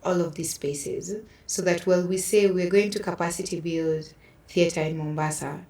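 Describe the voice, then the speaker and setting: A woman's voice: fairly low pitch, steady tone, moderate pace, mellow. A woman with a Kenyan accent talking reflectively in a conversational panel discussion, explaining with personal examples. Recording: roomy echo, distant from mic.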